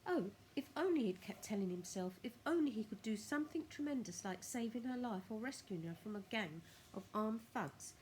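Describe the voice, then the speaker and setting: Female primary school storyteller: bright expressive reading, swooping intonation, careful dramatic phrasing, slight noise behind. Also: on the high side, fairly quick, hardly any pauses, low-quality recording. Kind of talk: expressive children's story narration.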